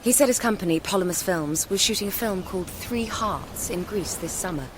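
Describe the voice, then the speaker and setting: A woman's voice: mid-range voice, a little fast, noisy background, close to an everyday voice. An actress playing an articulate young Englishwoman, educated, confiding and lightly teasing, in naturalistic radio drama dialogue.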